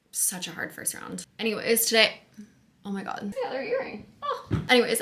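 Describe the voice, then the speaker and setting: A woman's voice: mid-range voice, pushed and bright, a little hiss or hum. A young American woman talking candidly to camera in a casual vlog from a rented house. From United States. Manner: chatty, candid, quick asides. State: hoarse, losing her voice. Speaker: vlogger.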